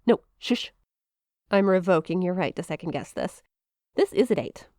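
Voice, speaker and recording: A woman's voice: fairly low pitch, animated, normal speed, dark tone, no echo, clean studio sound, close to an everyday voice. A woman playing a shy, playful young eldritch monster classmate in an intimate ASMR roleplay, teasing and hesitant, with a flustered stammer. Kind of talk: ASMR roleplay, intimate one-sided conversation.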